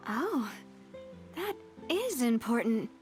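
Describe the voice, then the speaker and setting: A poised young lady boss speaks in a high, melodic voice for a video game. She is polite and refined but guarded, and music plays behind her. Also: very animated, takes it slowly. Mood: intrigued. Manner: composed, refined, slightly reserved.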